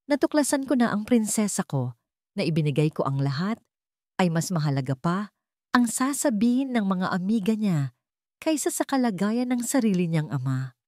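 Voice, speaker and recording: An elderly widowed father acted out by a woman in a radio-style story, dignified but wounded. The speech is solemn and emotional, with highly animated pitch in a dry, close recording. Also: normal speed, dry recording, lightly acted. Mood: hurt, reproachful. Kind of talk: dramatic audio-story dialogue.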